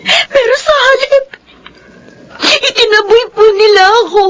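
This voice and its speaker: Very shrill, sobbing young Filipina daughter. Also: female voice, unhurried, a bit bright. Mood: hurt, sobbing. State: crying. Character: wounded, tearful eighteen-year-old abandoned daughter. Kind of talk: Filipino radio drama acting.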